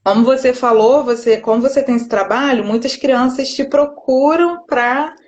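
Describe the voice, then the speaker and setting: A woman teacher with a fairly high voice reflects and explains her ideas at length. She speaks over a live video call, and the audio comes through dull and lo-fi. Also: moderate pace, a little mellow, slight background noise. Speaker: schoolteacher. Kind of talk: casual conversation on a live stream. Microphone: phone or laptop on a live video call.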